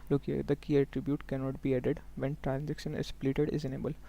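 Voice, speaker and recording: A man working as a software trainer, giving a step-by-step live tutorial in an instructional way, often prompting listeners to follow along. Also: medium pitch, monotone, a little fast, gravelly. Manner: instructional, often checking in with okay and look here.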